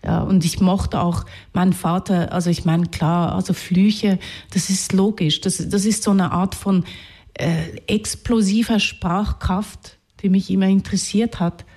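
Mellow-voiced Swiss woman, thoughtful. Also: mid-range voice, fairly even intonation, unhurried. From Switzerland, Zurich region. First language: Hungarian. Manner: thoughtful, searching for words, self-correcting. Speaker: writer, interview guest. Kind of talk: reflective spoken interview.

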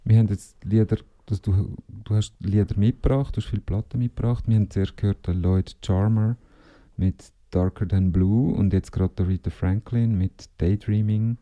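A man's voice: on the low side, steady tone, normal speed, muffled recording. A man hosting a radio interview, asking long chatty questions. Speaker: radio host.

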